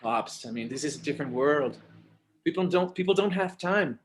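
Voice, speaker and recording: A man playing a patient, slightly exasperated adult son in a screenplay table read, casual and halting, with bits of Spanish. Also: fairly high pitch, lively intonation, a little hiss or hum, lightly acted. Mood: explaining.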